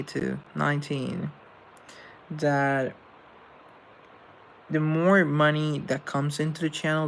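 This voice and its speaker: Young male live host with a gravelly voice, earnest and rambling, over faint noise. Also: medium pitch, fairly bright tone, poor audio. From Colombia. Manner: rambling, earnest, with self-deprecating asides. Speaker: live show host answering chat. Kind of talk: casual live-stream chat, reading comments aloud.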